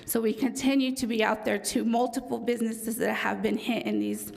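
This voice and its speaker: A woman with a raspy voice giving a formal presentation to a city council for a police department, with frequent ums and self-corrections. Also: a little high, steady tone, fairly quick, darkish tone. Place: council chamber.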